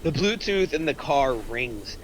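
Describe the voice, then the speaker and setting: A man's voice: medium pitch, big pitch swings, measured pace. A man reading screenplay action aloud as a scripted narrator. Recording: faint background noise.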